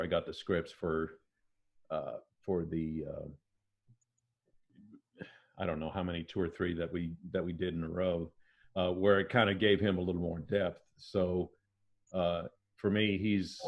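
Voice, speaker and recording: A man speaking as a volunteer voice actor on a panel, chatting in a halting, thoughtful way over a dull lo-fi online stream. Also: deep voice. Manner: halting, thoughtful, many pauses. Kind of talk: casual reflective chat.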